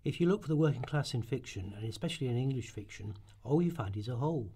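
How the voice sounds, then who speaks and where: A man's voice: mid-range voice, animated, quick pace, dark tone, breathy edge. A man reading scripted narration aloud for a radio play.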